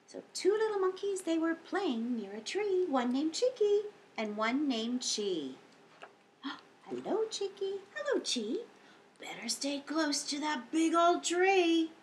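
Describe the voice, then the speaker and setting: A high-voiced woman in her fifties reads to small children like a storytime librarian. Her delivery swings widely in pitch and takes its time, with expressive, exaggerated phrasing. A little room noise sits behind her. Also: takes it slowly. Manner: animated, exaggerated, with audible gasps and hushed whispers. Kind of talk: expressive read-aloud storytime for young children. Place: indoor lounge, seated on a couch.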